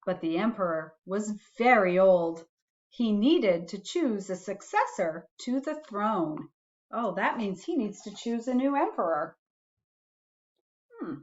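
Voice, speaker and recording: A woman reading a children's storybook aloud expressively, adding musing asides to young listeners in a deliberate way. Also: mid-range voice, expressive, dull audio.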